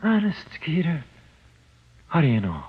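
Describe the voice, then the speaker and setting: Frightened, childlike convict, muffled recording. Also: male voice, fairly high pitch, huge pitch swings, measured pace, husky, heavily acted. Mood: fearful, pleading. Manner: uneducated slangy speech, pleading and confiding. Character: frightened, childlike condemned male convict awaiting execution. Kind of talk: 1940s radio drama acting. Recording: muffled, dull old radio recording.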